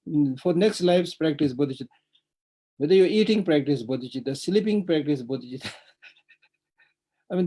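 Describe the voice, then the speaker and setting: A man's voice: a little high, breathy edge, low-quality recording. A middle-aged man with a Tibetan accent giving a Buddhist dharma teaching, stressing points by repeating them and laughing between them. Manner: emphatic, repetitive, laughs warmly between points. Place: remote virtual teaching.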